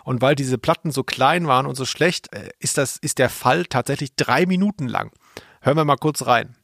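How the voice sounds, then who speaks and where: A man with a mid-pitched voice chats wryly and colloquially as a podcast co-host. The sound is dry, without room echo. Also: normal speed, creaky, fairly bright tone. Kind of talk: casual chat about audio dramas.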